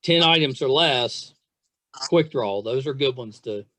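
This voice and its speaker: A man hosting a podcast in casual chat, gushing like an enthusiastic fan in halting, restarting sentences. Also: mid-range voice, expressive, sharp tone, a little hiss or hum. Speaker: podcast host.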